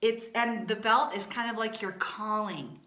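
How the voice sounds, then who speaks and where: Woman choir teacher, naturally low speaking voice, talking slowly through warm-ups in a roomy echo. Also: fairly even intonation. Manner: chatty, demonstrates belting and singing. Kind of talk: vocal warm-up lesson with sung demonstrations.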